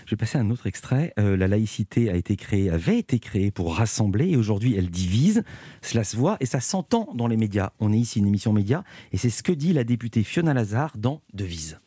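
Male radio interviewer, fast and pressing, dark husky tone, live studio. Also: lowish voice, big pitch swings, dry recording. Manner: brisk, pressing questions.

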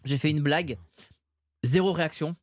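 A man's voice: fairly high pitch, moderate pace, no room sound, studio quality. A man, a comedian and filmmaker, telling anecdotes in a casual interview. He talks animatedly, with frequent restarts and acted-out quotes. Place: studio with glass screens.

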